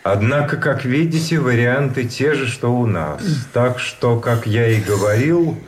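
An actor playing a weary, fatalistic prisoner in a radio drama, speaking in measured, polite, deliberate phrases. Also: male voice, medium pitch, a little hiss or hum, natural voice. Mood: grave, resigned. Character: weary, fatalistic imprisoned private explaining grim rules. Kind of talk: Russian radio drama acting. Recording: music under the voice.

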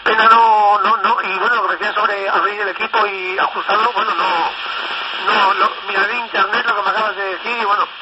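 A man's voice: high voice, fairly even intonation, brisk. A man chatting casually over CB radio, enthusiastic and rambling, often repeating himself. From northern Spain. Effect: FM CB radio transmission. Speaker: amateur CB radio operator. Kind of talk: casual radio contact chat. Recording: noisy, dull CB radio transmission. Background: loud radio static and noise.

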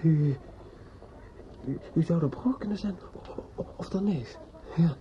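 A man's voice: on the high side, measured pace, natural voice. An actor playing a drunk, bitter, grieving judge's son in a radio play, stammering and repeating himself as he broods. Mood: drunken anguish. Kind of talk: radio drama acting with inner monologue. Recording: muffled, noisy old radio play recording.

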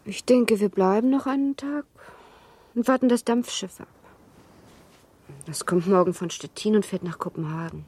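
A husky young baron's wife, played by an actress in a literary radio play. She speaks in a slightly low register with refined, expressive diction. Her temper is restless and wistful, always longing for livelier things. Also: moderate pace, pauses often, natural voice. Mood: yearning.

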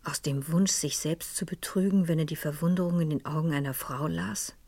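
An actress playing a composed, probing, melancholy lady in a German radio crime drama, speaking and narrating in a formal, deliberate way. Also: low-pitched, a little mellow, dry recording. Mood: reflective, sad. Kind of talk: German radio play acting with reflective narration.

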